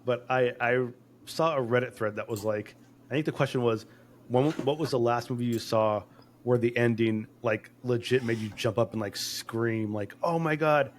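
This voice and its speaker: Excitable man chatting on a podcast. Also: medium pitch, a little hiss or hum. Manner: excitable, quick to laugh. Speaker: podcast co-host. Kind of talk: casual chat.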